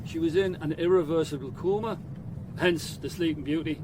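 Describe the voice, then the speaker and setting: An actor playing an affable, flustered chief constable with a Northern English accent, hesitant and polite, in a radio drama. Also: male voice, a little high, moderate pace, background noise, natural voice. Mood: informative. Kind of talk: BBC radio drama acting.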